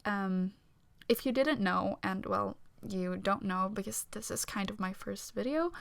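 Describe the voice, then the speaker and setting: A young woman from Sweden with a Swedish accent, talking quietly and self-consciously over her speed drawing, with small nervous laughs. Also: medium pitch, unhurried, gravelly, dry recording. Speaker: art vlogger. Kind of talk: casual voice-over talk during a speed drawing. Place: home room.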